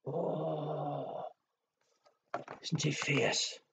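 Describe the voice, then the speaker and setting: A man telling a story to young children, with a husky voice, hushed dramatic pauses and simple questions to his listeners. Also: fairly high pitch, level tone, background noise. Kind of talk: children's storytelling and nursery rhymes. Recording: dull lo-fi recording.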